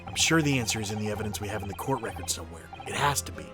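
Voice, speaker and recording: A man's voice: on the low side, lively intonation, very fast, close to an everyday voice. A man playing a nervous, earnest young defense lawyer in a video game dub. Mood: thinking hard. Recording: music under the voice.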